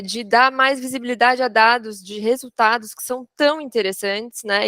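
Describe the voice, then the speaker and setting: A woman's voice: a little high, a bit bright, smooth, dry recording, studio quality. A Brazilian woman giving conversational academic feedback as a research discussant.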